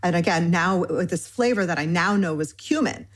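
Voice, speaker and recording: A woman's voice: on the low side, normal speed. A woman in her forties from Michigan, a cookbook author in an interview, talking eagerly in long winding lists with plenty of fillers. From Michigan, United States. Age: 40s. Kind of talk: conversational interview.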